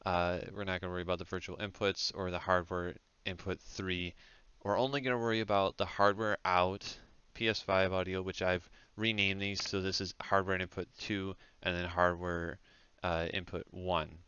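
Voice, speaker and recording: A man giving a casual software tutorial in an informal, hesitant step-by-step way, speaking close into a headset mic. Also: low voice, flat delivery, moderate pace, smooth, no room sound. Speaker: tutorial presenter. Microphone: close headset microphone. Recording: dull, lo-fi headset audio.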